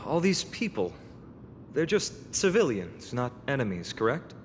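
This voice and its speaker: A man playing an earnest, inquisitive young travelling companion in a video game, with questioning, deliberate phrasing. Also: medium pitch, very animated, slight background noise. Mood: awed, concerned. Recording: echoing hall effect, faint music.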